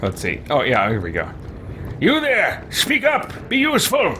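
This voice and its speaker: A man's voice: fairly high pitch, huge pitch swings, moderate pace. A man roleplaying a haughty, impatient mage over a video game, imperious and theatrical in manner. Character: haughty, impatient adult male mage who orders companions around. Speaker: let's-play roleplayer. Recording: loud game noise behind voice. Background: loud ambient game noise.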